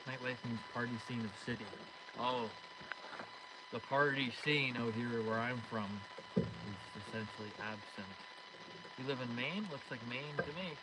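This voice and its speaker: Give me a young man with a Canadian accent, chatty and dry, reading out viewer comments at a middle pitch. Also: moderate pace. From Northern Ontario, Canada. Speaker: YouTube fishing streamer. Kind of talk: casual live-stream chat reading comments. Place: outdoors at night on a frozen lake. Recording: loud outdoor background noise.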